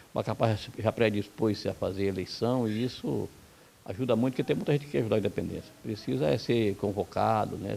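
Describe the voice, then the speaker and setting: A man's voice: lowish voice, creaky, mellow, slight background noise. A man from Brazil, a football coach, giving blunt, heated opinions in an interview. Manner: blunt, heated, rapid-fire complaints.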